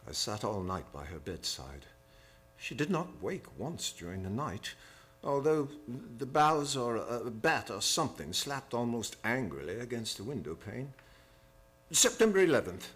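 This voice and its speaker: Husky, grave, devoted gentleman diarist played by a man, radio play, very dynamic pitch. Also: sing-song, measured pace, full character voice. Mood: grave, troubled. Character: grave, devoted gentleman reading his private journal. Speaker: actor narrating from journals. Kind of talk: old-time radio drama acting.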